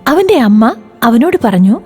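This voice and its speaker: A woman narrating a children's moral story in an expressive, sing-song way. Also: on the high side, moderate pace, slightly rough, faint music behind.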